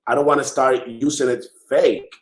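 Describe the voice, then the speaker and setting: A man's voice: medium pitch, fairly even intonation. Male artist guest, Dominican Spanish accent, conversational and searching, repeats phrases, echoey room sound. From Dominican Republic. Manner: halting, repeats words and phrases as he searches for them. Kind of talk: casual conversation.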